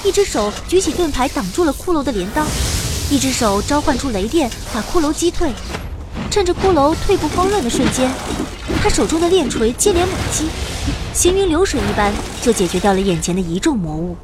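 A cheeky, high-pitched young girl mage. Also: big pitch swings, a little fast. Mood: narrating adventure. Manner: playful, bouncy, boastful. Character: cheeky, money-loving, bluffing young female mage. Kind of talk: audio drama acting with first-person narration. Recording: background music under the voice.

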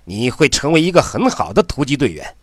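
A man's voice: mid-range voice, big pitch swings, fairly quick, no echo, clean studio sound. A man playing a hard-bitten, commanding army general who trains a recruit in an audio drama. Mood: commanding, approving. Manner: commanding, gruff-talking military instructor.

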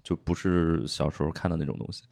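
A man hosting a podcast in relaxed, agreeable conversation, filling gaps with quick affirmations. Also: very deep voice, vocal fry. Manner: easygoing, agreeable, many affirming fillers. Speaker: podcast host. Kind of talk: casual podcast chat.

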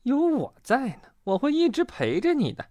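A storyteller voicing an excitable, caring young animal in a children's storybook, eager and exclaiming. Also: medium pitch, fairly quick, husky, pitched above the performer's own voice, heavily acted. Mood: comforting.

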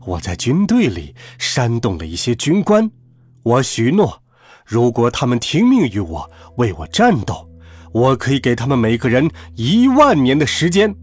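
A man voicing a scheming male villain who talks slowly and mockingly, with bursts of gleeful laughter. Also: a little high, sing-song, husky, heavily acted. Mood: gloating. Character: gloating scheming male villain called Monkey.

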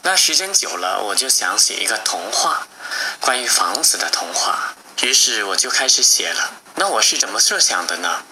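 A man, an author, gently and reflectively introducing his fairy tale to young listeners. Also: on the low side, very animated, moderate pace, background noise. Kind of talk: casual spoken introduction to children.

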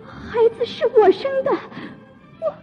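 A woman's voice: very high-pitched, unhurried. A woman playing a distraught young peasant mother in a radio drama, anguished and tremulous. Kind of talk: Chinese radio drama acting. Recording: dull recording, music behind.

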